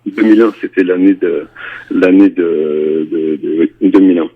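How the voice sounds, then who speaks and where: A very deep, gravelly-voiced man in his forties talks through a guest interview, stopping often with fillers and starting phrases again. His voice comes through a thin, phone-like band. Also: fairly even intonation, moderate pace. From France. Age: 40s. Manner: hesitant, many fillers and restarts. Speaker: reggae and dancehall singer, guest. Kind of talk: radio interview.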